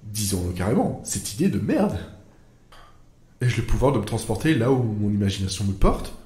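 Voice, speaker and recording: A man's voice: fairly low pitch, big pitch swings, moderate pace, dark tone, breathy edge, heavily acted. A man playing a bewildered, sarcastic storyteller in a comic audio fiction, rambling in the first person, interrupting himself and addressing the listener. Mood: alarmed, indignant, then puzzled. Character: bewildered, sarcastic male storyteller stranded inside his own wild ideas. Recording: music bed behind the voice. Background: music.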